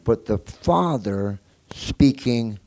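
A man's voice: mid-range voice, expressive, drawn-out words, a little mellow. A man, married, teaching a congregation as pastor in a chatty style, laughing often and repeating words as he goes. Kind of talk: teaching sermon.

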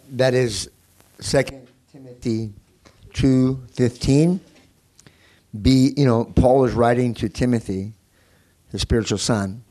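Man, pastor, teaching sermon, conversational, laughs often, mid pitch. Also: a little mellow. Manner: chatty, laughs often, repeats words.

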